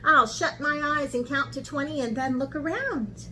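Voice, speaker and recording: A woman art teacher talking to young children in an expressive, encouraging way, as in a lesson or picture book read-aloud. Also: high-pitched, normal speed, poor audio. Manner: expressive, encouraging talk aimed at young children, with dramatic gasps.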